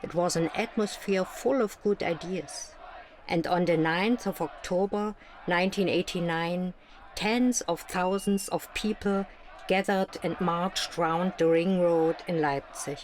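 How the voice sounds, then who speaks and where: Actress as East German woman looking back, lowish voice, reflective first-person narration for a motion comic. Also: unhurried, darkish tone, slight background noise, lightly acted.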